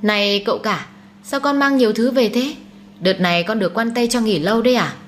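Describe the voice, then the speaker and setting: A woman performs a domineering older mother from an audiobook melodrama. She scolds in an indignant, snobbish way, pitched fairly high. There is some noise behind the voice. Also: fairly quick, lightly acted. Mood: surprised. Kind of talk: dramatised audiobook storytelling.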